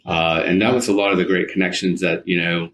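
A man's voice: low voice, fairly even intonation, fairly quick, a bit bright. A man, reminiscing conversationally as an interview guest, rambling and often rephrasing himself. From United States, partly Alabama and California. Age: 50s. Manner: rambling, circles back and rephrases.

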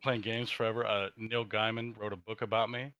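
A man's voice: lowish voice, flat delivery, brisk, sharp tone, dry recording, dull audio. A man in his thirties, a guest on a gaming podcast, laid-back with dry humor. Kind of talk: casual gaming podcast chat.

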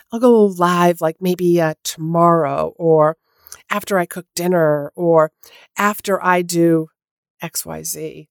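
A woman's voice: fairly low pitch, darkish tone, no echo, clean studio sound. A woman hosting a solo podcast, talking in a chatty way with lots of fillers and restarts, sounding a little nasal from a head cold. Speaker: podcast host. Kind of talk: casual solo podcast talk.

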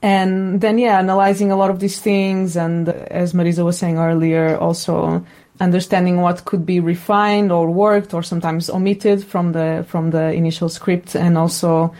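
A woman's voice: on the low side, flat delivery, moderate pace, warm tone. A woman talks reflectively as an interviewed sound designer, thoughtfully searching for her words.

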